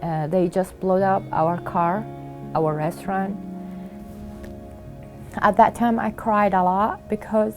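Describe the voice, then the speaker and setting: A middle-aged woman with an Iraqi Arabic accent telling her own story in an interview, halting, with many fillers and pauses. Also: medium pitch, fairly even intonation, music in the background. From Iraq. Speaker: refugee sharing her story. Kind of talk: interview testimony.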